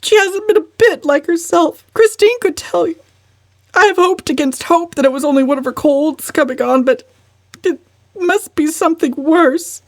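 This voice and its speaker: Woman acting a high, sobbing, fretful mother. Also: normal speed, no echo, close to an everyday voice. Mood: tearful, distraught. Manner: sobbing, moaning. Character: tearful, fretful middle-class mother, self-pitying.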